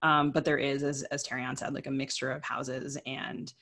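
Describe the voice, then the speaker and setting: A woman's voice: rumbling low, little pitch movement, moderate pace, a bit bright. A woman from the US East Coast speaking conversationally as a podcast co-host, explaining things in a discursive way. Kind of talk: conversational interview talk.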